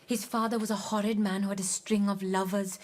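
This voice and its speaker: A woman playing a young Indian wife in a radio drama, girlish, coaxing and anxious, with an expressive delivery. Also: medium pitch, fairly quick, close to an everyday voice. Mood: earnest, open. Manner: pleading, girlish, emotionally expressive.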